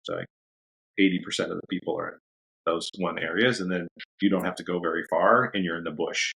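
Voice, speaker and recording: A man with a very low voice and plenty of gravelly fry talks easily as a podcast guest. He rambles in a relaxed way and breaks into laughs often. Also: a little fast, poor audio. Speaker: dog trainer guest. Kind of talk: casual interview chat.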